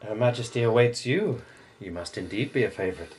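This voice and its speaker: A man's voice: medium pitch, animated, fairly quick, a little mellow, a little hiss or hum, natural voice, low-quality recording. A man acting a courtly palace lord in a radio drama, suave and formal with a probing, insinuating manner. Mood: courteous, teasing.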